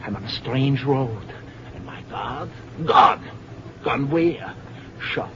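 An actor playing a paranoid, tyrannical German dictator in an old-time radio drama, with a German accent and a barking, clipped, imperious manner. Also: male voice, mid-range voice, lively intonation, takes it slowly. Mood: brooding, paranoid. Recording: dull, noisy old radio recording.

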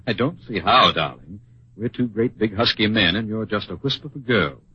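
An actor playing a suave, unflappable American adventurer in an old-time radio drama, urbane and wry. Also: male voice, fairly low pitch, expressive, normal speed, slightly rough. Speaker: narrator and lead character. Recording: muffled old radio recording.

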